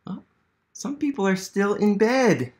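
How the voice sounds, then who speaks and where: A man's voice: sits high, very animated, a little slow, a little mellow. An American man in his thirties hosting a children's read-aloud as an educator, asking questions and encouraging young listeners. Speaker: museum literacy educator hosting a children's storytime. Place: small living room at home, indoors.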